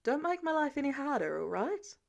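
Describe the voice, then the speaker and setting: A woman's voice: high-pitched, big pitch swings, brisk, creaky, exaggerated voice. Woman as older male mentor, confident, wry, decisive, teasing, audiobook dialogue. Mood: decisive, persuasive.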